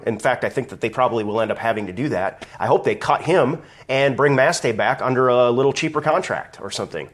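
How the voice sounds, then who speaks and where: A man hosting a casual live video stream, talking conversationally, greeting viewers by name and ranting, his voice slightly raspy from allergies. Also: medium pitch.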